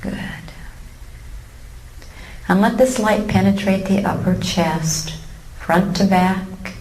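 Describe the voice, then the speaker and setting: A woman leading a guided meditation in a calm, gently instructive way with long pauses, recorded live in a room. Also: mid-range voice, in a whisper. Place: room with a seated live audience. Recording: roomy live recording with faint noise.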